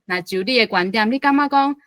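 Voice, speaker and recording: A woman's voice: fairly high pitch, brisk, no room sound. A woman with a Taiwanese accent putting a question to a guest in a conversational panel talk.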